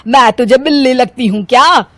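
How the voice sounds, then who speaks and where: A woman playing a middle-aged, talkative Punjabi mother-in-law who scolds and complains theatrically in an animated story. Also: high-pitched, moderate pace, background noise. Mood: indignant complaining. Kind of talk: animated Hindi story dialogue.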